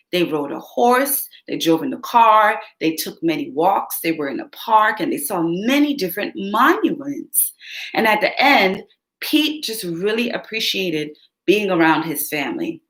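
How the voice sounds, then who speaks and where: Expressive woman reading a kids' story. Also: mid-range voice. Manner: expressive, teacherly, asks kids questions. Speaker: children's story reader. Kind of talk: read-aloud storytelling for young kids. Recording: roomy echo.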